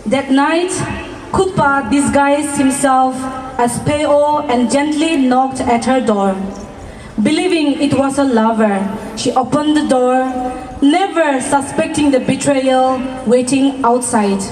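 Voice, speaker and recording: Echoey, noisy recording; high-pitched female narrator, dramatic and deliberate storytelling. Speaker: narrator of a folk tale. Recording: echoey room, distant mic, clear noise.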